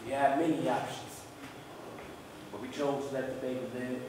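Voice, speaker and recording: A man performing a theatrical stage monologue as an emotional young father recalling a birth, with deliberate dramatic pauses. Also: mid-range voice, level tone, close to an everyday voice. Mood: reflective, emotional. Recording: very echoey room, distant mic, faint noise.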